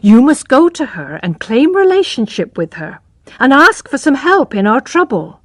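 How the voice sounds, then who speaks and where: A woman's voice: high voice, big pitch swings, normal speed, dry recording, clean studio sound, voice pushed up. A woman voicing a hopeful country mother who speaks in a coaxing, persuasive way.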